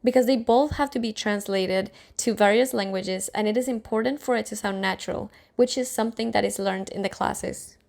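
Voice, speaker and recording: A woman's voice: mid-range voice, moderate pace, vocal fry, few pauses, darkish tone. A young woman reading scripted narration as a student news reporter.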